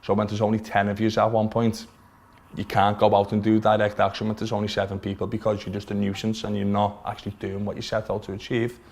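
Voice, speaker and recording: A man's voice: on the low side, a little mellow. A man in his twenties with a Liverpool accent, earnestly explaining his views at length in a documentary interview in his shop. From Liverpool, England. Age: 20s. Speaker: vape shop owner. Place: their small shop, indoors.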